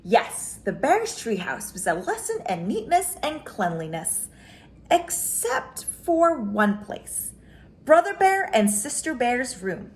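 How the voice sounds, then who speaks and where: A woman's voice: on the high side, very animated, takes it slowly. A woman reading a children's picture book aloud as a storyteller, expressively, drawing out key words for emphasis.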